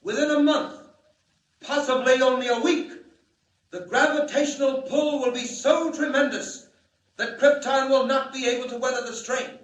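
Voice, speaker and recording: A man's voice: very high voice, steady tone, normal speed, fairly bright tone. A man acting a grave, urgent alien scientist in a 1940s radio drama, solemn and declamatory. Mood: urgent, foreboding. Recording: echoey, distant 1940 radio broadcast.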